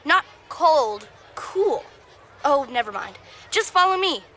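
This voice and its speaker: A woman's voice: sits high, sing-song, slow, a bit bright, full character voice. A woman playing an easygoing, big-buddy time traveler in a children's Christmas puppet show. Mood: breezy, kindly.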